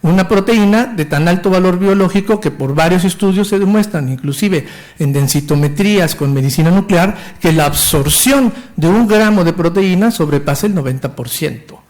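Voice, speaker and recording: A man's voice: sits high, moderate pace, a little mellow. A man in his fifties with a Mexican Spanish accent, giving an enthusiastic and technical medical lecture with some stumbles and restarts. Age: 50s. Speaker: physician lecturer.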